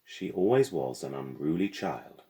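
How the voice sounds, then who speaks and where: A man's voice: very deep voice, expressive, a little slow, warm tone, exaggerated voice. Man putting on a sing-song, haughty Frenchwoman. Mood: disapproving, measured. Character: foreign woman, haughty guardian speaking secretively.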